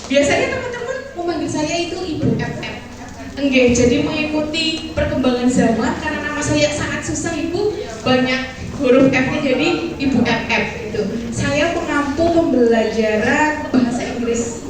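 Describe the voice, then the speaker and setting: A woman's voice: sits high, moderate pace. A woman in her twenties, an English teacher, giving a chatty presentation to teachers and quizzing them with questions. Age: 20s. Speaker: English teacher and talk show guest speaker. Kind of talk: lively presentation to a teacher audience. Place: large hall with audience. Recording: echoey hall, distant mic, crowd noise.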